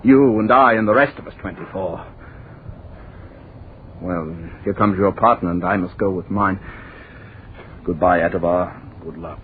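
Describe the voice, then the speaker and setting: Bitter young rebel, tinny old radio. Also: male voice, low-pitched. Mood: grave, resolute. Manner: declamatory, theatrical, deliberate. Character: bitter, brooding young male rebel of a deathless future. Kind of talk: 1940s radio drama acting. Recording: muffled, noisy old radio recording.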